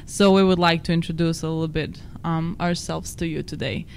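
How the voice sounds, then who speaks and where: A woman's voice: low-pitched, fairly even intonation, fairly quick, gravelly, a little hiss or hum. Croatian-accented young woman, chatty. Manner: chatty, self-deprecating, non-native phrasing. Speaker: podcast co-host. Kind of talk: casual chat.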